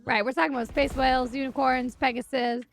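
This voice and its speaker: Creaky-voiced young woman streaming. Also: high-pitched, brisk. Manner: animated, wry, sweary. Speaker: game streamer. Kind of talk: casual live stream chat while gaming.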